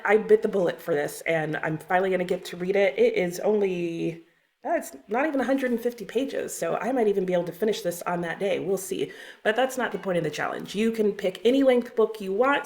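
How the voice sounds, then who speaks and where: Chatty woman hosting a book vlog. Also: medium pitch. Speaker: book channel host. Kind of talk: casual scripted-feeling vlog talk to viewers.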